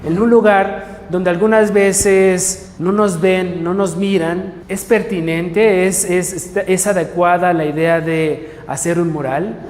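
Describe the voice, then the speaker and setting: A man leading an art workshop, speaking in a thoughtful, measured way. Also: high-pitched, steady tone, darkish tone. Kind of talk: reflective group talk. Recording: echoey hall with music.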